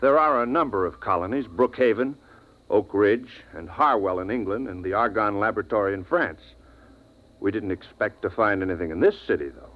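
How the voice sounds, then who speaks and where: An actor playing an astonished scientist scout with an emphatic delivery, in 1950s radio drama style. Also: male voice, on the low side, animated, a little fast, lightly acted. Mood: explanatory. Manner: emphatic, incredulous. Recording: dull vintage radio recording.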